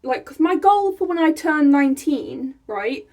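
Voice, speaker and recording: A young woman from England, chatting casually to her camera in a small room, excitable and laughing often. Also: sits high, measured pace. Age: young adult. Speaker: vlogger. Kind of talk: casual vlog chat. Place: small room by a rainy window, indoors.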